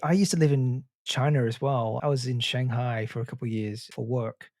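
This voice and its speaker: A man's voice: medium pitch, steady tone, brisk, a little mellow, no echo, clean studio sound. A man hosting a podcast, talking in an informal, matey, conversational way. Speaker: podcast host. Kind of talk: upbeat intro and casual interview.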